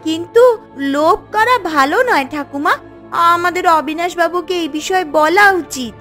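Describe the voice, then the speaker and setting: A woman's voice: very high voice, moderate pace, pushed and bright, faint music behind. A woman voicing an honest, wide-eyed little village granddaughter in a fairy-tale cartoon, exclaiming and questioning earnestly.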